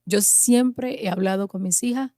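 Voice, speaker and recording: A woman's voice: mid-range voice, a little slow, dark tone, no echo, clean studio sound. A woman in her forties, a pastor and mother, answering interview questions in an earnest, emphatic way. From Puerto Rico. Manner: earnest, emphatic, lists with building rhythm.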